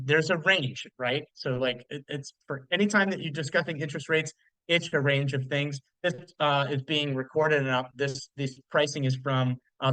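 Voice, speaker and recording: A man's voice: on the high side, fairly bright tone, no echo, rough recording. A man in his thirties, a mortgage loan officer, hosting a live webinar in a loose, chatty way with lots of fillers and restarts. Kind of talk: casual live webinar presentation.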